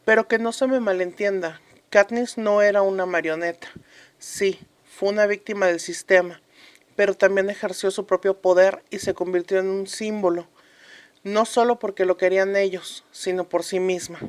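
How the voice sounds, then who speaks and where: A woman's voice: mid-range voice, fairly even intonation, moderate pace, natural voice. Solemn, emphatic woman acting as a historical commentator. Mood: earnest, reflective. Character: earnest woman commentator from a fictional post-revolution republic. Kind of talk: scripted radio-drama interview commentary.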